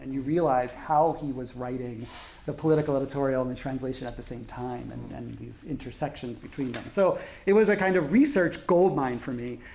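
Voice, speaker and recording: A man in his forties speaking as a translator on a panel, halting, with many fillers and restarts. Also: mid-range voice, dark tone. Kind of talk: panel discussion.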